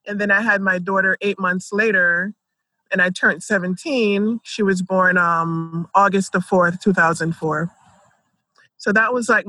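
A woman's voice: mid-range voice, sharp tone, no room sound, low-quality recording. A woman, telling her life story conversationally and peppering it with "like" and "you know". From Jamaica and Philadelphia, United States. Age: 30s.

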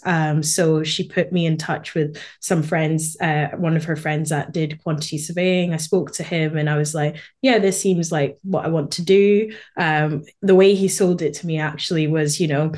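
Young Scottish woman, Edinburgh accent, low voice, reflective podcast guest. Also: a little mellow. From born in Malawi, raised in Scotland. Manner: thoughtful, with frequent fillers and light laughs. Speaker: podcast guest, quantity surveyor. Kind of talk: casual conversational interview. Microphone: remote call.

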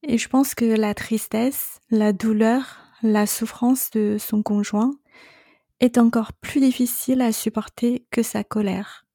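Slow, mellow-voiced woman coach. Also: a little high, no echo, clean studio sound. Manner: calm, reassuring, speaks directly to the listener. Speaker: separation coach and podcast host. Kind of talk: solo coaching podcast monologue.

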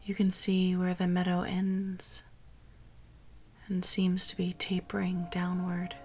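A woman guiding a meditation in a soft, partly whispered voice. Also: lowish voice, completely flat delivery, takes it slowly, husky. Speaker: meditation guide. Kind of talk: guided meditation.

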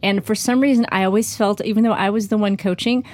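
A woman's voice: mid-range voice, fairly even intonation, fast talker, a little mellow. A woman hosting a podcast interview, asking questions and responding with eager, affirming remarks. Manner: enthusiastic, affirming interviewer who laughs easily. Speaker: podcast host. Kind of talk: interview conversation.

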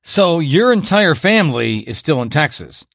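Guy hosting a podcast, kinda high voice, laughs a lot, dry close sound. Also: very animated, moderate pace, gravelly, a bit bright, no room sound. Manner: laughs often, playful teasing. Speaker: podcast host. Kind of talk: casual interview chat.

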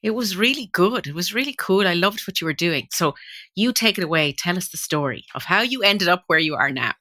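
Low, bright-voiced woman podcast host. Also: animated, fairly quick. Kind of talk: podcast introduction and conversational interview.

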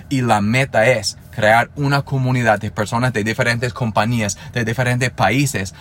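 A man's voice: mid-range voice, slight background noise. Earnest Spanish-speaking man, motivational podcast. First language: English. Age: 30s. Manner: earnest, motivational, with frequent restarts and fillers. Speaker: podcast host. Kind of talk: solo podcast monologue.

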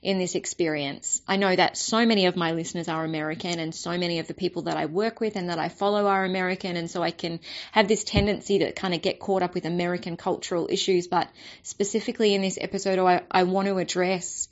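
A woman with an Australian accent, hoarse and raspy from a cold with a blocked nose, hosting a solo podcast episode in an earnest way, often repeating words as she finds her thought. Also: on the low side, fairly even intonation, fast talker, lo-fi sound. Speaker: podcast host and spiritual business coach.

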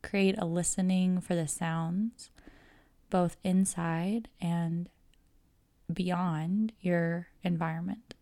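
A woman guiding a relaxation meditation, speaking in a calm, measured, soothing way with pauses between phrases. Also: lowish voice, dry recording. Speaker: yoga nidra guide. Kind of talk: guided meditation narration.

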